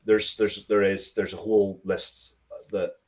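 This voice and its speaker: A man talking casually as an interview guest, halting and wry, often restarting his sentences. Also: fairly low pitch, flat delivery, a little mellow. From Ireland. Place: basement, at home.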